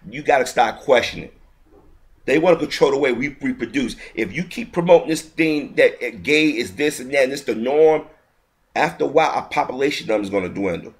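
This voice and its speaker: A man's voice: medium pitch, brisk. A man giving a heated, indignant video commentary, often restarting his sentences mid-thought. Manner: heated, indignant, repetitive ranting with frequent restarts.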